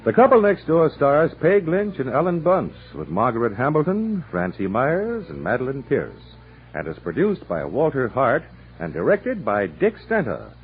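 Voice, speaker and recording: A man announcing in a formal, measured and earnest scripted 1960s radio style. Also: mid-range voice, wildly swooping pitch, soft background music. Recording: thin, phone-like old radio broadcast.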